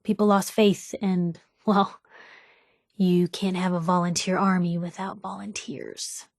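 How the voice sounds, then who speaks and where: A woman's voice: lowish voice, little pitch movement, a little slow, vocal fry, no echo, studio quality. An actress playing a spirited, nosy young woman reporter in a video game, talking in a wry, inquisitive, punchy and colloquial way. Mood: wry, earnest. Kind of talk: video game companion dialogue.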